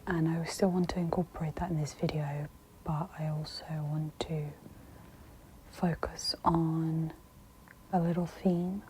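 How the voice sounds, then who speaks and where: Slow whispering woman guiding ASMR meditation. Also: sits low, flat delivery, a little hiss or hum. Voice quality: breathy whisper. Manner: soft, gentle, soothing instruction. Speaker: guided meditation host.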